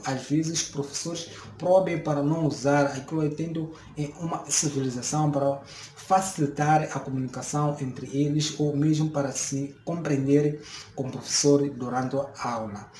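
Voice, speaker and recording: A man's voice: on the high side, darkish tone, a little hiss or hum. Hesitant Mozambican man in an echoey room. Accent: Mozambican Portuguese. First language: a Mozambican local language. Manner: hesitant, many fillers and restarts, explaining. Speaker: vlogger. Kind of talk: casual talk to camera.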